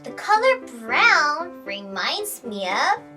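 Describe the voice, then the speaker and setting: Very high-pitched, animated woman teaching kids. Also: slow, soft background music. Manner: sing-song, repeats words for learners. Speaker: children's storytime host and English teacher. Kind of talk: playful children's English lesson, exaggerated storytelling.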